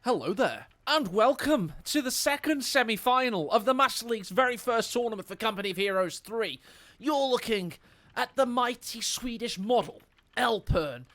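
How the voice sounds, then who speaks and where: A man's voice: high-pitched, a bit bright. A man casting a live strategy game match on stream. He jokes and talks directly to his viewers. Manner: playful, jokey, chats to viewers. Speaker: esports caster.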